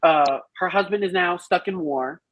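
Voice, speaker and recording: A woman's voice: fairly low pitch, moderate pace, highs cut off, a little hiss or hum. A woman speaking casually and emphatically as a podcast panelist in a group review, explaining things in detail. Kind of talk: casual group chat, film and musical review.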